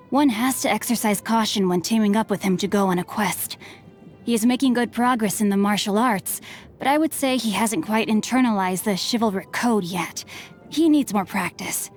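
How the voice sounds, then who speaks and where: A woman's voice: mid-range voice, lightly acted. A woman voicing a bookish, mischievous young noble boy in a video game, speaking in refined, formal phrases with a playful, teasing manner. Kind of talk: scripted game character voicelines. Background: background music.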